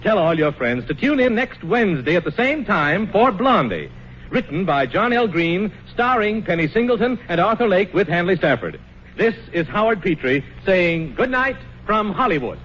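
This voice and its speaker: A man's voice: high-pitched, very animated, normal speed, fairly bright tone. A man announcing on old radio in a polished, hearty manner, reading scripted copy. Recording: muffled, faintly noisy old radio broadcast.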